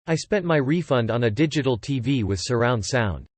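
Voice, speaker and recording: A man's voice: mid-range voice, lively intonation, moderate pace, no room sound. A man teaching English, carefully reading definitions and example sentences aloud. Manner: clear, careful model reading.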